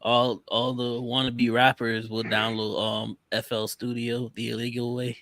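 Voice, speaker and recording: A man's voice: medium pitch, level tone, a little slow, a bit bright, no room sound. A man on a casual call with other truck drivers, teasing a friend and laughing often. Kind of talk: casual group chat on a call.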